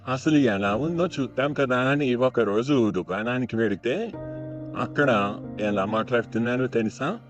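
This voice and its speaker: A man's voice: medium pitch, close to an everyday voice. Man acting repentant husband, dull recording with music. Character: repentant once-greedy village moneylender husband, reflective and earnest. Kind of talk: dramatized moral story dialogue. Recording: dull lo-fi audio under plucked-string music.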